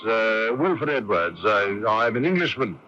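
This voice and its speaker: A man's voice: lowish voice, very animated, unhurried, pushed and bright, a little hiss or hum, exaggerated voice. An actor in a radio drama playing a nervous, evasive Irish patriot, stammering and hesitant as he speaks. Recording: dull lo-fi radio broadcast tape.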